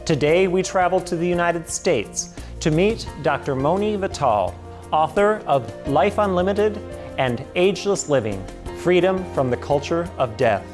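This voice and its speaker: A host narrating a documentary segment in a calm, explanatory way. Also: medium pitch, measured pace. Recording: music bed under voice.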